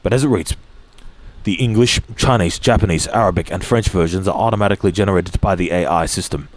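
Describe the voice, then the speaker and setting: Lowish male voice reading news haltingly. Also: dry recording, poor audio. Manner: halting, frequent restarts and throat clearing. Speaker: streamer reading news aloud. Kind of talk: reading articles aloud with asides.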